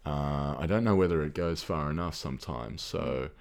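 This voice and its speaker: A man's voice: a bass voice, normal speed, creaky. A middle-aged Australian man with an Australian accent, a podcast guest speaking reflectively, with many ums and restarts. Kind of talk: reflective conversational talk.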